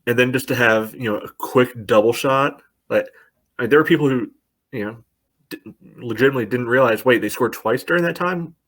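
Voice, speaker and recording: A man's voice: medium pitch, fairly quick, fairly bright tone. A man co-hosting a casual soccer podcast, talking at length with many "you know" fillers. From United States. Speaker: podcast co-host. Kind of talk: casual sports chat.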